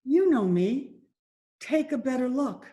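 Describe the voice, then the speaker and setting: A woman playing a resigned but proud down-and-out mother in an old-time radio drama, speaking earnestly in short, halting phrases. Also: high-pitched, animated, mellow. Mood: defiant.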